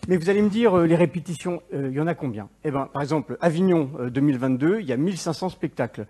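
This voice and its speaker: A man who is an actor pitching his startup on stage, joking with the audience. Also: fast talker, warm tone, breathy edge. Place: event stage.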